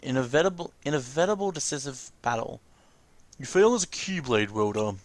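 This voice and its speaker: Animated man doing casual game commentary. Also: medium pitch, a little fast. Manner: profane, casual exclamations. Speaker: let's play host. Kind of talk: casual game reaction commentary, reading cutscene dialogue aloud.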